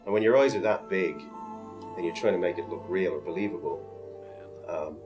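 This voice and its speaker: A man's voice: sits low, a little fast, muffled recording, music in the background. A man, an animator, explaining his work in a precise way in an interview. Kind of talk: interview answers.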